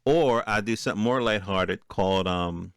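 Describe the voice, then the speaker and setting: A man's voice: on the low side, moderate pace, fairly bright tone, smooth, no room sound, studio quality. A man hosting a podcast solo, talking off the cuff in a streetwise, colloquial way. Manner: streetwise, colloquial, peppered with profanity. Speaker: podcast host. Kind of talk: casual, unscripted solo monologue.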